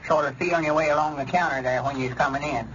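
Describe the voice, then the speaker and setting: Man doing old southern grandpa, high voice, folksy n hesitant, skeptical, faint hiss old radio comedy. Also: fairly even intonation, very fast, natural voice. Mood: folksy amusement. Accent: rural Southern US. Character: plain-spoken, skeptical old country grandpappy. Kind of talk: 1930s rural comedy radio acting. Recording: muffled, noisy old radio recording.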